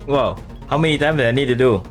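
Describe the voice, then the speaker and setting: A man streaming a video game, casually commenting and reading dialogue aloud in halting English phrasing. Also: medium pitch. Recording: game music behind. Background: game music.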